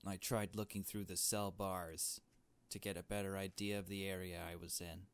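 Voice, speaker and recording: A man voicing a frightened, earnest kidnapped young adventurer in a visual novel, speaking in a halting, pleading way. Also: low-pitched, level tone, smooth. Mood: hushed narration. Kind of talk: dramatic visual novel read-aloud.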